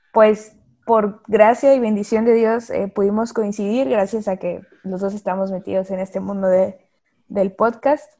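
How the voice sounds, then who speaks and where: A woman's voice: mid-range voice, dark tone. A young woman hosting a casual faith podcast, chatty and full of fillers. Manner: chatty, laughs often, many fillers. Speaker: podcast host.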